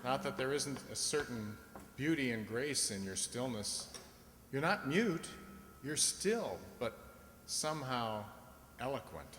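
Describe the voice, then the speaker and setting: Kind young doctor, echoey hall. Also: male voice, medium pitch, expressive, measured pace, a bit bright. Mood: tender. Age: young adult. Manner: hesitant, tender. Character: kind, earnest young country doctor, shyly fond. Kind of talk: radio drama acting. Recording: echoey hall, faint background noise.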